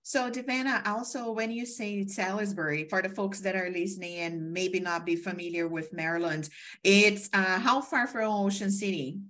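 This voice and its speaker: A woman hosts a podcast interview. Her pitch sits mid-range, and she talks in an effusive, chatty flow with lots of "you know". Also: normal speed, a bit bright. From Brazil. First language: Portuguese. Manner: effusive, chatty, many fillers. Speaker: podcast host. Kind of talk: casual interview.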